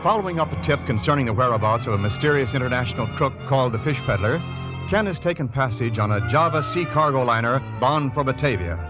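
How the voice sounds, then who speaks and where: A man's voice: mid-range voice, animated, fast, lightly acted. Man as old-time radio announcer, scripted recap, dull lo-fi sound, music behind. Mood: dramatic, measured. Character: formal male radio serial announcer. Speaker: announcer and narrator. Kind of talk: 1940s radio scripted narration.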